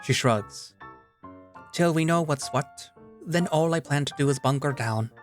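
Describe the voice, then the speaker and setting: A man voicing a brisk, unflappable female squirrel barkeep with a thick Scottish accent, plainspoken and calling people love and lads. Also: on the high side, soft background music, voice pushed up, lightly acted.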